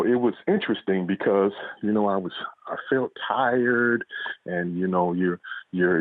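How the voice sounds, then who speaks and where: A man's voice: fairly low pitch, no room sound. A middle-aged man, an author being interviewed, telling his story in a casual conversational way. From United States. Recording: dull, lo-fi radio-like line.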